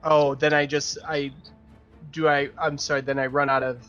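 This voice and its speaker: A man's voice: a little high, little pitch movement, normal speed, a bit bright, dull audio, faint music behind. A man playing a tabletop roleplaying game, chatting casually and hesitantly as he thinks aloud. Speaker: player.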